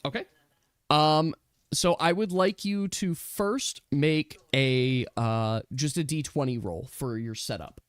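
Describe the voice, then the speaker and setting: A man acting as game master, casually narrating a tabletop game in a halting, thinking-aloud manner. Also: fairly high pitch, smooth, no echo. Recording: dry close mic with music behind. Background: music.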